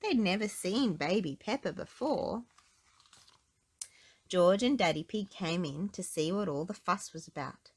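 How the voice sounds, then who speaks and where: A woman reading a storybook aloud to children in an expressive narrating style. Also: lowish voice, measured pace, breathy edge. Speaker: storybook reader.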